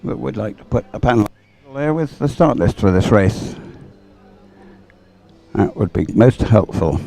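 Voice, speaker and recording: A man commentates live on a race in a mellow, gravelly voice. He rambles and hesitates, grumbling dryly as he goes. Faint event music plays behind him. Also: on the low side. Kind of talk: unscripted sports event commentary. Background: music playing over event sound system.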